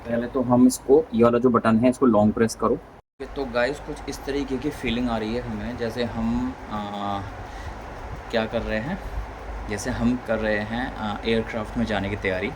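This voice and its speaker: A man's voice: mid-range voice, fairly even intonation, normal speed. A man giving a casual hands-on tutorial as a vlogger, informally thinking aloud step by step. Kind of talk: casual hands-on tutorial chat in Hindi mixed with English words. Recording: clearly noisy background ambience.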